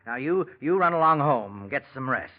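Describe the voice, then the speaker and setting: A muffled old radio drama voice of a male boss played by an actor, scheming and domineering. His mid-range delivery swings widely in pitch, clipped and urgent as a man under strain. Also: expressive, a little fast, close to an everyday voice. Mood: smooth, evasive. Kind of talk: 1940s radio drama acting.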